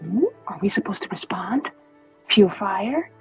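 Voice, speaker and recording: A woman playing in a tabletop game, chiming in with quick, excited interjections. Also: mid-range voice, breathy edge, soft background music. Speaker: player.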